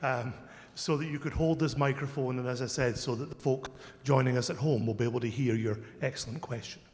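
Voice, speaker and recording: A man moderating a question session, loud and friendly with a light joking tone. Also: mid-range voice, steady tone, a little fast. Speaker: event moderator. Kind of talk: announcing a Q&A. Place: church hall. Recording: long church hall reverb.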